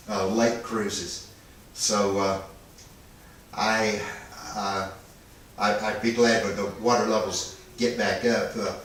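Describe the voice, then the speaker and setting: A man chatting casually as an amateur radio operator in a long, halting, unhurried monologue. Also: fairly bright tone. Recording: echoey, distant, faint noise and rumble.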